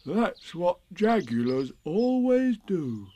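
A placid, naive storybook bear, voiced by a man. He speaks slowly in a mellow, slightly high voice, matter-of-fact and knowing. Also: very animated, slight background noise, exaggerated voice. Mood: placidly knowing. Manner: slow, matter-of-fact explaining.

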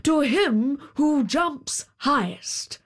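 Woman doing a stately storybook king, pompous, high voice, drags out every royal decree. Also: expressive, full character voice. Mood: regal, pronouncing. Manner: pompous, deliberate proclaiming. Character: pompous king, a father judging a contest. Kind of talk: storybook character voice.

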